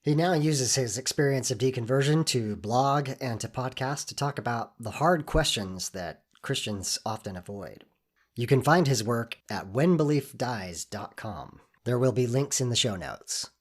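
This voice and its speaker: Husky-voiced male podcast host. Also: mid-range voice, animated, a little slow. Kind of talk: interview hosting.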